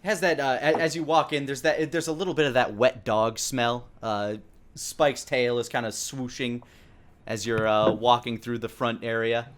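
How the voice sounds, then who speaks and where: A man acts as game master for a tabletop game. His voice is middle-pitched. He improvises scene descriptions, feeling his way through the phrases. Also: fairly even intonation, moderate pace, fairly bright tone.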